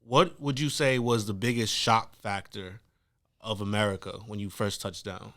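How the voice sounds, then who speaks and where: A man's voice: lowish voice, fairly even intonation, moderate pace, fairly bright tone. Upbeat man hosting a podcast. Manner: upbeat, friendly banter. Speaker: podcast host. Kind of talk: casual podcast interview.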